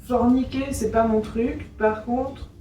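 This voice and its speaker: A woman's voice: on the high side, fairly even intonation, slow pace, warm tone, echoey room, far from the mic, faint music behind, poor audio. A woman hosting an interview, asking frank, teasing questions and laughing often. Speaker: host, interviewer. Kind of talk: casual interview.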